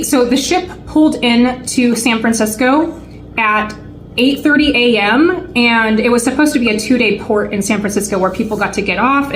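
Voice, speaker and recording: A woman's voice: medium pitch, a little hiss or hum, rough recording. A woman in her late forties co-hosting a vlog, chatting casually with lots of fillers and restarts. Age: 40s. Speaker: vlog co-host. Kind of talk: casual chat to camera.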